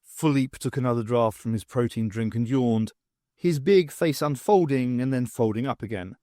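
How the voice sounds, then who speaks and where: A man reading a first-person story aloud as an expressive audiobook narrator, with wry, dramatic, emphatic phrasing. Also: medium pitch, normal speed, no echo, clean studio sound. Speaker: audiobook reader.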